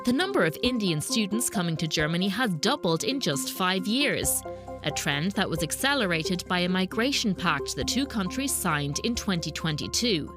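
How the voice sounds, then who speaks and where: A woman reporter narrating a business news documentary in a measured, explanatory way. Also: lowish voice, sing-song. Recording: voice-over with music bed.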